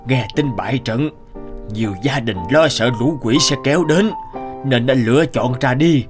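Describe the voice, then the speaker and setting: A man telling a cartoon folk tale as a scripted narrator with an even storytelling delivery. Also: mid-range voice, moderate pace, over music.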